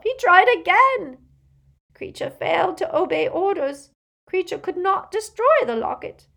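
A woman voicing a frightened, servile old male house-elf in an audiobook, tearful and halting as he speaks of himself in the third person. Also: extremely high pitch, vocal fry, a bit bright, pitched above the performer's own voice, heavily acted. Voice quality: tearful, moaning, breaking voice.

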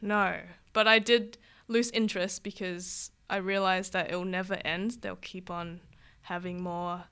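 A woman's voice: mid-range voice, little pitch movement, measured pace, hardly any pauses, fairly bright tone, clear, resonant tone. A woman from Vietnam, a guest illustrator, chatting casually in an interview. She rambles and corrects herself, with many "like" and "um".

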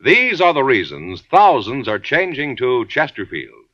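A male commercial announcer reading in an emphatic, persuasive 1950s style. His pitch swings lively, and the sound is dry and dull like an old radio broadcast. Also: unhurried, no echo.

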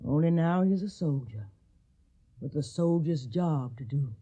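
A woman's voice: sits low, lively intonation, measured pace, warm tone, slightly rough, lo-fi sound, heavily acted. A woman playing an older, motherly Southern mammy in a radio drama, plain-spoken and soothing. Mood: reassuring.

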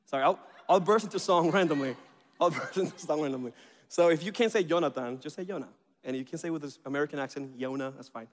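A man in his thirties from Venezuela, whose first language is Spanish, preaching in a chatty, joking way with bursts of excitement. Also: a little high, fast. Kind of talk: informal sermon introduction. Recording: reverberant hall.

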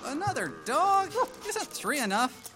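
Actor as a high-pitched, whiny teenage gamer boy. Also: big pitch swings, a little slow, over music. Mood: sarcastic grumbling. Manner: whiny, sarcastic. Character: irritable teenage gamer son, sarcastic and put-upon. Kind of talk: live stage audio drama acting. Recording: live stage with loud crowd noise.

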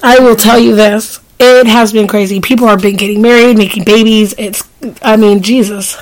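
A woman's voice: medium pitch, steady tone, moderate pace, low-quality recording. Sick lady in her 40s co-hosting a podcast, voice going, chatty and emphatic. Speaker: podcast co-host. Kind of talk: casual chat.

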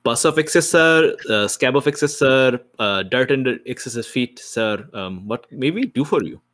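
Casting: man as an over-polite sword school master in a tabletop game, nervous charm, ornate courtesy, halting delivery. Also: medium pitch, animated, fairly bright tone, close to an everyday voice. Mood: nervous deference. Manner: flowery, over-polite, faltering.

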